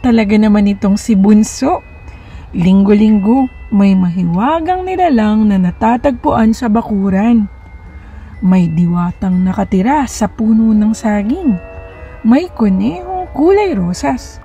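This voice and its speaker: A woman's voice: medium pitch, expressive, unhurried, dark tone, husky, recorded from a distance, dull audio, faint music behind. A woman playing a doting, inquisitive mother talking to her small child in a storybook. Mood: amused disbelief. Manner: inquisitive, indulgent.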